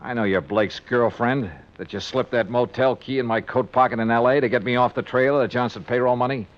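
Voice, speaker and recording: Male actor as wry American gumshoe, medium pitch, tough-talking 1950s radio delivery, muffled vintage recording. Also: steady tone, fairly quick, close to an everyday voice. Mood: firm, pressing. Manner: clipped, sardonic, tough-talking. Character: hard-boiled male insurance investigator, wry and insistent. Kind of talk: 1950s radio detective drama acting and narration. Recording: dull vintage radio recording, music behind.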